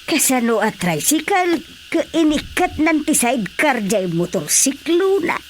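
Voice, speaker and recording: A woman's voice: sits high, very animated, measured pace. An actress playing a worried village woman in a radio drama. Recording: radio drama with faint noise.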